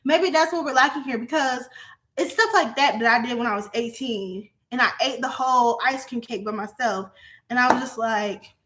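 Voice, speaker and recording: High-pitched, bright-voiced young woman vlogger. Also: normal speed, a little hiss or hum. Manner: profane, animated, laughs often. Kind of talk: casual monologue to viewers.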